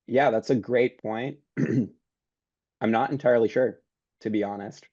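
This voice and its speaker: A man who is a guest researcher, informally explaining his work in an online Q&A, slightly hoarse as if losing his voice. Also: medium pitch, a little slow, a little mellow, no room sound. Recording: dull, muffled online call audio.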